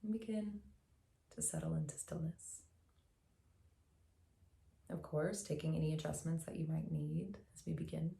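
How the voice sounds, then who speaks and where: A woman guiding a meditation as a teacher, speaking in a gentle, soothing, unhurried way with long pauses, in a slightly echoey room. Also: low-pitched, fairly even intonation, vocal fry. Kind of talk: guided meditation, soft instructional narration.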